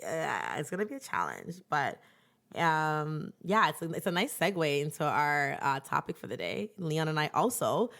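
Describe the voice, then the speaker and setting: Chatty young woman, lowish voice. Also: normal speed, gravelly. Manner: animated storyteller, many "like" fillers. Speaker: podcast co-host; teacher. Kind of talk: casual chat.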